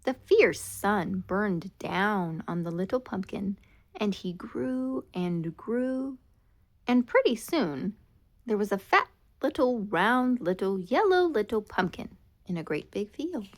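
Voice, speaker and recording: Slow, animated woman reading a storybook. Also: medium pitch. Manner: dramatic, expressive read-aloud with drawn-out words. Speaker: storybook reader. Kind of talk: scripted children's storytime narration.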